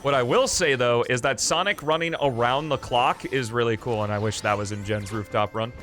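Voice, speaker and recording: A young man chatting casually on a live stream, loud and rambling with lots of fillers and jokes, in a small foam-treated room. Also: mid-range voice, a little fast, soft background music.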